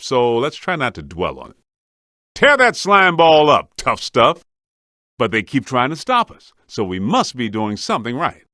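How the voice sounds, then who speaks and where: Streetwise ghost hunter, higher-pitched, very dynamic intonation, wry, colloquial, video game dialogue. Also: male voice, pushed and bright, dry recording, studio quality, exaggerated voice. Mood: wry, determined. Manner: colloquial, wry, plain-spoken. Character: streetwise, wisecracking male ghost-hunting team member.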